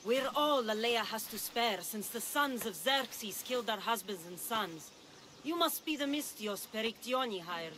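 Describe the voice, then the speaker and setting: A plain-spoken village huntress. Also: female voice, fairly high pitch, animated, measured pace, a bit bright, a little hiss or hum. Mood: matter-of-fact. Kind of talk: video game cutscene acting.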